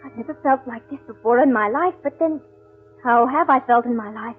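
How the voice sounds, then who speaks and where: A muffled vintage radio broadcast carries a bewildered, anguished woman, played by an actress. Her high, husky voice is halting and melodramatic, with faint music behind it. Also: lightly acted. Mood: confused, anxious. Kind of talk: old-time radio horror melodrama acting.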